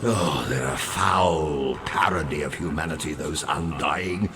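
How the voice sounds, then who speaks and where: A man's voice: extremely low pitch, unhurried, a crowd in the background. An actor playing a grandiose, roguish undead sea captain in a video game, florid and pompous, wry and morbidly cheerful as he savors his words. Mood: reminiscing, disdainful. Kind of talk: theatrical video game character dialogue.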